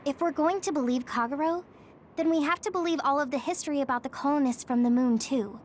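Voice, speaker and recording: A woman voicing a sweet, sincere young woman in an English video game dub, with an earnest, gentle manner. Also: high voice, fast talker, a little hiss or hum, lightly acted. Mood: thoughtful.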